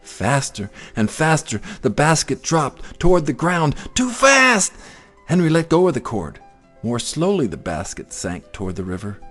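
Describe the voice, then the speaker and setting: A man narrating a children's story in an expressive, theatrical way. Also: fairly high pitch, measured pace, faint music behind. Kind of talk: dramatic children's story reading.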